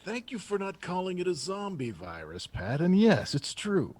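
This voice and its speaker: Radio play: male actor as defensive chief of staff, clipped delivery, lively pitch, close dry sound. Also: normal speed, dry recording, heavily acted. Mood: defensive, firm. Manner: clipped, impatient, all business. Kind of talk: full-cast audio drama acting.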